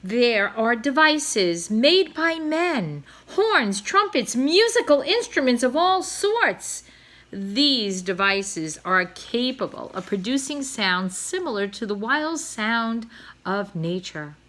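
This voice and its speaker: A woman's voice: on the high side, sing-song, slow pace, fairly bright tone, heavily acted. Woman acting out a pompous, theatrical father swan. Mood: determined, grand. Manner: grandiose, florid declaiming. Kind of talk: storybook read-aloud.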